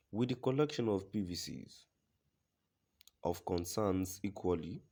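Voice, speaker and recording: A man with a very deep voice reads the news from a script. His delivery is formal and deliberate, in the manner of a news reader presenting a written report. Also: mellow.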